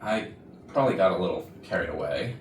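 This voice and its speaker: A man's voice: low voice, expressive, brisk. A man playing a defensive, blustering office executive, indignant and self-justifying, in a staged murder mystery comedy. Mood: pleading, explaining. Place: rehearsal room for a staged reading. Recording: echoey room, distant mic, background noise.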